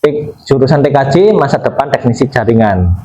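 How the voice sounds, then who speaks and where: A man's voice: medium pitch, animated, a little fast, dark tone, a little hiss or hum. A male teacher giving a classroom lecture, explaining step by step and asking and answering his own questions. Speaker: vocational school teacher. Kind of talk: classroom lecture with screen demonstration. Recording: roomy echo.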